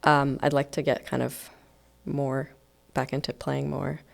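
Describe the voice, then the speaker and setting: Guest composer, woman in her thirties, from Iceland, low-pitched, hesitant and reflective, lots of fillers. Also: little pitch movement, measured pace, vocal fry. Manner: thoughtful, hesitant, many fillers. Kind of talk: casual podcast interview.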